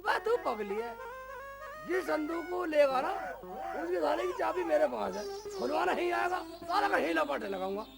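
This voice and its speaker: Man acting a high-pitched, blustering village husband. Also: expressive, moderate pace, vocal fry, music in the background, heavily acted. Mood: indignant boasting. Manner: blustering, bickering, insult-laden. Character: loud, boastful, foolish village husband, quick to take offence. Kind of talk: broad rustic comedy skit acting.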